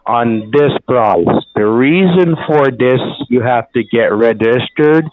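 A man on a phone call delivering a formal, scripted prize pitch in an overly reassuring manner. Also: slow, no echo. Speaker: phone scammer. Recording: tinny phone line.

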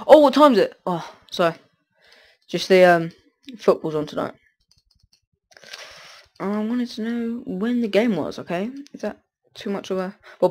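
A teenage boy with a stuffy cold, rambling through casual gameplay commentary and often breaking off mid-sentence. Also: on the high side. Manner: rambling, self-interrupting, distracted. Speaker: gaming commentator.

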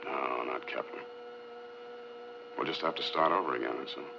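A man acting a world-weary, guarded rocket scientist in a 1950s film, with an American accent and a gravelly voice. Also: rumbling low, steady tone, normal speed, background noise. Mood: resigned. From United States. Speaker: film actor. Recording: dull vintage film soundtrack with loud music.

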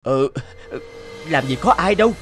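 A man's voice: fairly high pitch, animated, unhurried, faint music behind. A man voicing an earnest, easily swayed young father in a cartoon. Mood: concerned. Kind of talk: cartoon voice acting.

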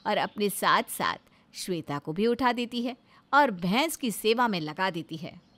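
A woman's voice: medium pitch, animated, measured pace, fairly bright tone. A woman narrator reading a scripted family story.

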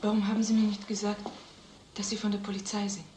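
A woman's voice: mid-range voice, little pitch movement, fast, warm tone, close to an everyday voice. An actress as an earnest mother, echoey room. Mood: worried. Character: anxious, strict mother working as housekeeper. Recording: echoey film sound, faint background noise.

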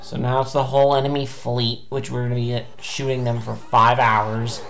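A young man giving angry, swearing commentary while playing a video game, his voice going hoarse. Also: mid-range voice, little pitch movement, normal speed, a bit bright, faint music behind. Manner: ranting, swearing, frustrated outbursts. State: losing his voice. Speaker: gameplay streamer.

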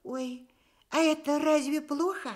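High-voiced, earnest little hedgehog. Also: female voice, fairly even intonation, a little slow. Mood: puzzled. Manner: earnest, childlike. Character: small, naive, proud, easily upset male hedgehog. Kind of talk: Soviet radio fairy-tale acting.

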